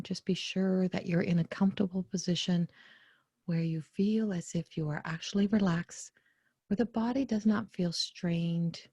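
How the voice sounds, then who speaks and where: A woman leading a guided meditation in a soft, breathy, often near-whispered voice, gently and without hurry, pausing between short phrases. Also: fairly low pitch, no room sound. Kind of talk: guided meditation, soothing spoken instruction.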